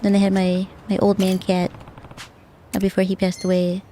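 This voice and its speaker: A young woman streaming a game, chatting softly and casually with viewers, often repeating words as she goes. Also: mid-range voice, monotone, slight background noise. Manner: soft-spoken, halting, repeats words. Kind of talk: casual gaming stream chat.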